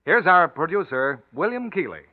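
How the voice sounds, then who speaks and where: A man's voice: a little high, big pitch swings, normal speed, phone-quality sound. A man announcing 1940s radio ad copy in an emphatic, carefully enunciated way, on an old broadcast recording.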